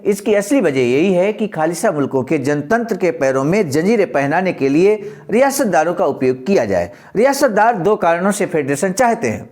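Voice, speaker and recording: A man's voice: high-pitched, darkish tone. A man presenting a historical political speech, reading it aloud in a formal, explanatory and oratorical manner.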